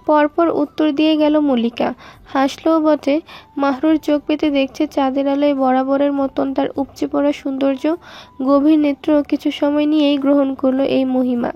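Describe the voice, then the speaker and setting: A woman's voice: high-pitched, level tone, moderate pace, lo-fi sound. A woman reading scripted story narration.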